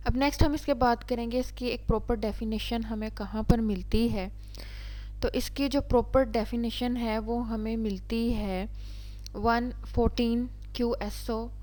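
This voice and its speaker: A woman's voice: a little high, steady tone, a little slow, dark tone, poor audio. A woman with a Pakistani accent giving an explanatory lecture as a law teacher, restating each point step by step. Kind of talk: explanatory lecture, switching between reading definitions and explaining.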